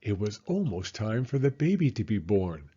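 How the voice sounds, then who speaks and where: A man reading a children's story aloud as an animated narrator. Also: on the low side, normal speed, breathy edge, lo-fi sound.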